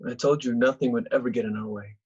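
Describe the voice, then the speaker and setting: A cocky young ladies' man played by a man in a comedy read, breezy and boastful. His voice sits at a middling pitch with a rough, husky edge. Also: steady tone, quick pace, darkish tone, highs cut off, close to an everyday voice. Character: cocky, oblivious young male actor, proud ladies' man. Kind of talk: remote table read of a comedy web series script.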